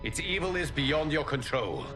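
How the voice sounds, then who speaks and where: An actor playing a wise, humble protector in a video game, speaking earnestly and formally with gravity. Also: male voice, fairly high pitch, very animated, moderate pace. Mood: grave, urgent. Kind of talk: dramatic video game acting. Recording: loud cinematic music behind.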